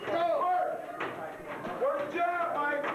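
Excited man shouting across an echoey gym. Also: very high-pitched, very slow, muffled recording. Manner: loud, excited, shouted encouragement. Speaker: hype man cheering a boxer. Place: indoor boxing gym. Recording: echoey gym, distant, noisy.

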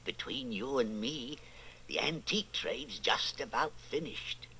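Chatty, bright-voiced junk shop keeper. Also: male voice, mid-range voice, expressive, measured pace, creaky, slight background noise, heavily acted, low-quality recording. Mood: chatty, resigned. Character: chatty male antique shop keeper, resigned. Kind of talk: old-time radio drama acting.

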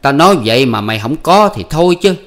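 A man's voice: medium pitch, lively intonation, normal speed, pitched above the performer's own voice, lightly acted. A man voicing an honest, simple peasant farmer who speaks bluntly and plainly. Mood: defensive. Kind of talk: storybook reading.

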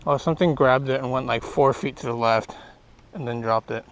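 A young man casually commenting while fishing from a small boat on a lake, easygoing and upbeat, getting excited over each catch. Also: mid-range voice, brisk, clear, resonant tone. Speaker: fishing vlogger. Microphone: action camera on the boat.

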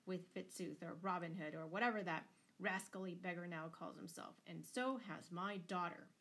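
A woman's voice: on the low side, moderate pace, a bit bright. A woman voicing a furious, tyrannical noble father in a storybook, bellowing and thundering with indignation. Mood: indignant. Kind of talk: dramatised storybook reading.

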